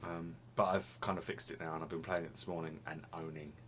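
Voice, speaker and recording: A young man with a very deep, low voice talks loosely to the camera, circling back and repeating himself as he goes. He sounds a little sniffly. It is recorded on a desk camera in a small room at home, with a faint hiss behind him. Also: little pitch movement, brisk, fairly bright tone. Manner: rambling, restarts phrases, laughs often. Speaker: vlogger. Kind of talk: casual chat to camera.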